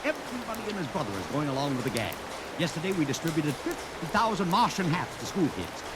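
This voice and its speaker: A man's voice: fairly high pitch, very animated, quick pace. An actor playing a brash, wheeling-dealing publicity promoter in an old radio drama, talking in salesman patter. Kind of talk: 1940s radio acting. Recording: old radio recording with loud hiss and rain.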